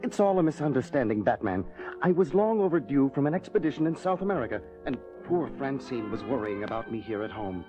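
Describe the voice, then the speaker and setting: An actor playing a gentle, troubled scientist husband in a 1970s superhero audio drama, soothing and explanatory. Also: male voice, medium pitch, very animated, fairly quick, close to an everyday voice. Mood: apologetic, earnest. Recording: dull 1970s record with music.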